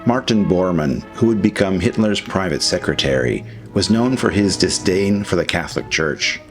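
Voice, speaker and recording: Deep-voiced man narrating history over music. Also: lively intonation, moderate pace. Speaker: documentary narrator.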